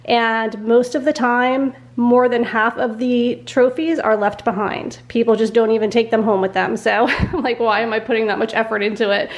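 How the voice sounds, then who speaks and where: A woman's voice: on the high side, fairly even intonation, moderate pace, hardly any pauses. Congested nasal woman vlogger, chatty. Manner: chatty, laughs often. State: congested, sore throat. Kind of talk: casual chat to camera. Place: at home.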